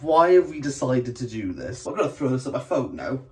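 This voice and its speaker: A man's voice: mid-range voice, big pitch swings, a little fast. A man ranting about a reading challenge in an exasperated, dramatic way, in a clip from his own video. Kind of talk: vlog monologue. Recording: roomy, distant, faint hiss.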